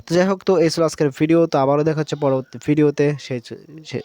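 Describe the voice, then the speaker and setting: A man's voice: a little high, fairly even intonation, very fast, warm tone, no echo. A man with a Bangladeshi accent hosting a casual, unscripted tutorial, repeating himself and often checking in with short tag questions. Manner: repetitive, frequently seeking confirmation with tag questions. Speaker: tutorial video host.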